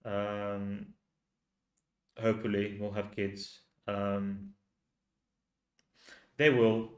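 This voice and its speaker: A man from Finland with a Finnish accent, a native Finnish speaker, hosting a livestream and talking in slow, halting rhetorical questions to his viewers. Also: on the low side, completely flat delivery, reverberant. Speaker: channel host. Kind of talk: livestream commentary reading chat.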